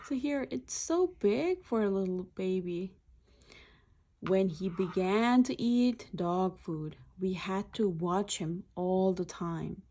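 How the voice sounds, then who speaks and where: A woman's voice: medium pitch, takes it slowly, dark tone. A woman reading a children's picture book aloud in short, simple phrases, adding explanatory asides.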